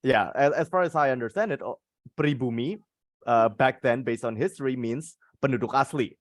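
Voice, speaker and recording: A man's voice: medium pitch, moderate pace, dry recording, clean studio sound. A man with an Indonesian accent teaching a casual live English class, repeating words and phrases as he explains encouragingly. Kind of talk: casual conversational lesson, switching between two languages.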